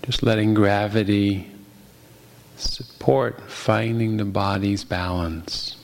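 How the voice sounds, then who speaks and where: A man guiding a meditation as a teacher, speaking gently and unhurriedly with long pauses. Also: lowish voice, fairly even intonation, vocal fry. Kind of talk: guided meditation.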